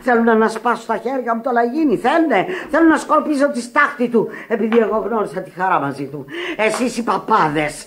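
An actress playing a desperate, passionate Sicilian widow in Greek radio theatre, pleading and defiant. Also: female voice, close to an everyday voice. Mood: defiant anguish. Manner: pleading, defiant, highly dramatic. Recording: echoey old radio play, crowd noise.

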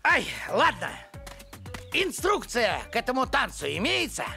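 A man's voice: extremely high pitch, huge pitch swings, a little slow, gravelly, sharp tone, exaggerated voice. A man playing a grumpy, lovestruck older village postman in a cartoon, grumbling and theatrical. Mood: awkward, eager. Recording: music bed behind the voice.

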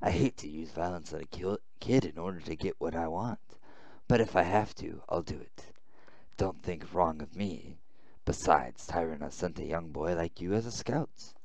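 A man voicing a gruff, threatening military boss in a visual novel, blunt and menacing. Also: deep voice, moderate pace, a little mellow, dry recording.

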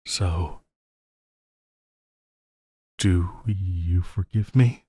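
A man playing a lovesick, possessive supervillain in an intimate audio roleplay, pleading haltingly and using tender endearments. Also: deep voice, dark tone, slightly rough, no room sound, clean studio sound, full character voice. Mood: pleading, remorseful. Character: lovesick, possessive yandere supervillain pleading with his beloved hero.

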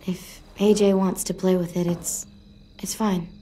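A woman's voice: fairly low pitch, level tone, a little slow, warm tone, a little hiss or hum, natural voice. An actress playing a wistful, awkward but tough teenage girl survivor in a video game, with a hesitant, slangy, casual delivery. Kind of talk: video game drama dialogue.

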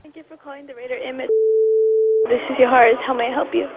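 A woman's voice: high voice, level tone, fast talker. A woman speaking politely and in a friendly way on a phone call to a store. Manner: polite, friendly customer-service tone. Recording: tinny phone line with loud noise.

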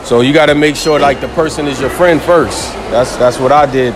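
A man's voice: mid-range voice, fairly even intonation, normal speed, noisy background. A young man answering interview questions in a mall, laid-back and full of "you know". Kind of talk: casual answers. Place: indoor shopping mall. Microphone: interviewer's handheld phone.

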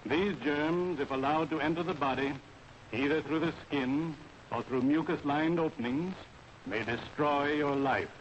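1940s American army lecturer, dull noisy film sound. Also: male voice, fairly high pitch. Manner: formal, authoritative, deliberate instruction. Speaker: army medical officer lecturing soldiers.

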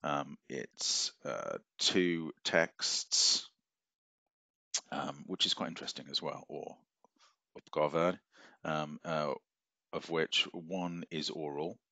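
A man's voice: a bass voice, steady tone, slow, pushed and bright, no room sound. A middle-aged man giving a recorded university lecture from his office over a webcam, rambling with many fillers and restarts. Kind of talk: recorded lecture walking through a syllabus. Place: office at a desk, screen-sharing.

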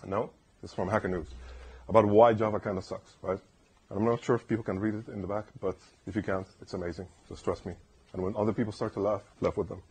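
A man with a soft, soothing voice gives a loose conference talk in a lecture room. His voice sits low and sounds very husky and breathy. He rambles and keeps asking the room "right?". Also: steady tone, fast. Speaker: conference speaker. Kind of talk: casual technical talk.

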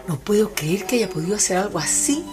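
A woman's voice: mid-range voice, expressive, brisk, natural voice. An actress playing a worried woman friend in a radio drama. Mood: shocked. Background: music.

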